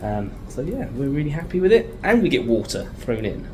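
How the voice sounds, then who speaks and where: A man's voice: medium pitch, big pitch swings, fairly quick, music in the background. A British man vlogging casually, thinking aloud in an informal, chatty way as he shows things around him. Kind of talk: casual video diary, talking while showing the space.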